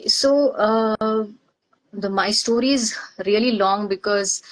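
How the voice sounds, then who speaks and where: A middle-aged woman from Maharashtra, India, whose first language is Marathi, speaking as a guest and pausing often as she reflects on her past. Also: mid-range voice, rough recording. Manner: hesitant, many pauses and fillers. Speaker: guest, organizational psychologist. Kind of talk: reflective personal interview.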